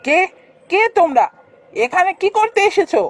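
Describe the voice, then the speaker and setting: A woman's voice: very high-pitched, very animated, normal speed. A woman voicing a haughty, cowardly young prince in a fairytale cartoon. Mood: haughty, dismissive. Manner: imperious, turning to whining excuses.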